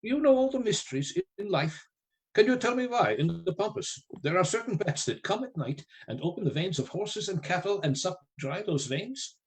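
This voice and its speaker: Grave, higher-voiced male professor. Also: very animated, rough recording. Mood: solemn, building. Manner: deliberate, rhetorical, formal. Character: grave male professor, learned, mysterious, solemnly persuasive. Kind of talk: radio play acting.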